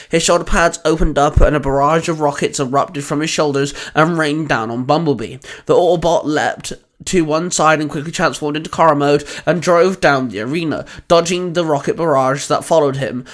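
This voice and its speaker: A man's voice: fairly high pitch, a bit bright. A man hosting and narrating fan fiction audio stories in a scripted read.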